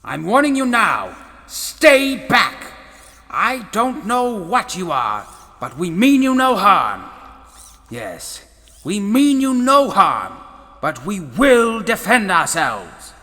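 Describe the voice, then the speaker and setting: In a long, hall-like reverb, an intense scholarly professor played by a man speaks with a bright, forceful edge and lively swings of pitch. He is protective, theatrical and emphatic, a sci-fi audio drama explorer. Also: takes it slowly, full character voice. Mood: alarmed, commanding. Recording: long hall reverb, faint music behind.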